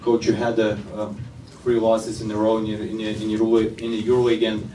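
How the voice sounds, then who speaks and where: Flat-voiced reporter, off-mic. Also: male voice, lowish voice, normal speed, roomy sound, a little hiss or hum. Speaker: journalist. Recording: distant mic.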